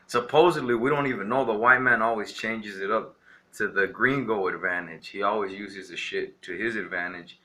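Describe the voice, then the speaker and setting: Bright-voiced man ranting defiantly. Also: on the low side, normal speed. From Texas, USA. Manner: rambling, defiant, heavy on "you know" fillers. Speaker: vlogger. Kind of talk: casual ranting monologue to camera.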